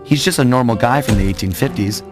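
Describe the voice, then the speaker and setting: A man's voice: mid-range voice, quick pace, close to an everyday voice. An actor playing a suave, wry young male thief, narrating his capers in a cartoon game. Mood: wry storytelling. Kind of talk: scripted narration. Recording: loud music behind narration.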